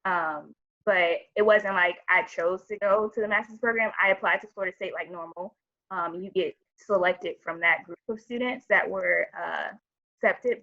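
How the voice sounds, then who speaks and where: A woman medical student on a video-call panel, explaining her own path in a relaxed way with many fillers. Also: lowish voice, gravelly. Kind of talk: casual account on a video call. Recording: dull lo-fi video call audio.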